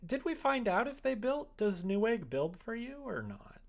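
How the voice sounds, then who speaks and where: Animated, casual streamer with lively pitch. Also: normal speed, creaky. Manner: informal, animated, quoting imagined exchanges. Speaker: streamer chatting while making a visual novel. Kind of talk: casual livestream chat.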